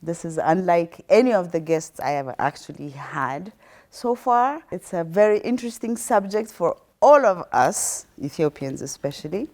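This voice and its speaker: Low-voiced female talk show host. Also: very animated, moderate pace, a little mellow. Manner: friendly, encouraging interviewer. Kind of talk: interview hosting.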